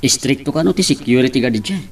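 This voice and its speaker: A man acts as a young Ilocano buddy and friendly romantic rival in an audio drama. His voice is medium in pitch and heard slightly off the microphone. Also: lively intonation, fast talker, distant mic. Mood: casual. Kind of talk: Ilocano radio-style love drama acting.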